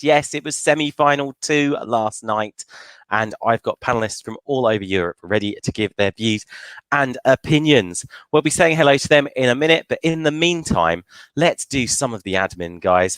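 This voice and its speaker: A man's voice: mid-range voice, fairly bright tone, dry recording, poor audio. A man hosting a live online fan panel show in a chatty presenting style.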